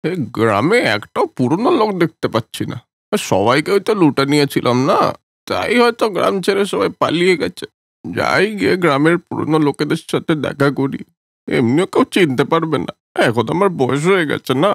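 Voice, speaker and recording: A man voicing an old male village swindler in a cartoon, grumbling in a lower, gruffer voice than his own. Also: sing-song, brisk, dry recording, clean studio sound, heavily acted. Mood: musing. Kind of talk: Bengali cartoon voice acting.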